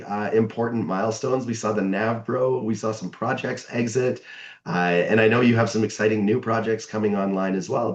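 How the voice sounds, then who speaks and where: A man with a hoarse, scratchy voice, slightly low-pitched, leading a business interview. He talks haltingly with many fillers, in an echoey room. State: hoarse from a cold. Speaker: firm president hosting a video call.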